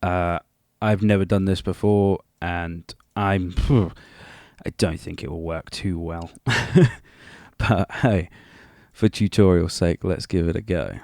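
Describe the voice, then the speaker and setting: A man's voice: low voice, fairly even intonation, takes it slowly, gravelly, darkish tone, dry recording, studio quality. A man presenting a casual, unscripted music production tutorial, rambling hesitantly and a little self-deprecating.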